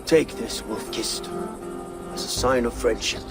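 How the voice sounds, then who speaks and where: An actor playing a Viking warrior who earnestly offers friendship. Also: male voice, mid-range voice, unhurried, lightly acted. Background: music.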